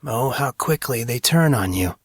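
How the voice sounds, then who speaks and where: A man's voice: medium pitch, animated, normal speed, breathy edge, no echo. A man voicing a cocky young male hero of a fantasy story, with dry, teasing, smug sarcasm. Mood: bitter.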